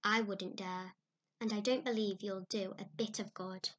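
A woman's voice: mid-range voice, measured pace, muffled recording, close to an everyday voice. Woman doing a spirited, emphatic young girl. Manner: excited, emphatic.

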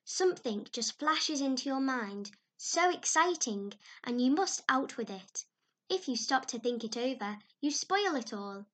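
A woman's voice: a little high, measured pace, fairly bright tone. A woman reading aloud, voicing an imaginative, dramatic orphan girl who gushes breathlessly. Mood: pleading. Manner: breathless, gushing, heightened.

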